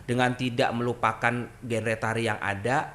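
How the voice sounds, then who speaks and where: A young adult man, a dance group founder and trainer, chatting on a podcast in an animated way. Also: mid-range voice, moderate pace, a little hiss or hum. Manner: animated, sings melodies and acts out quoted voices. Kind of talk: casual podcast conversation.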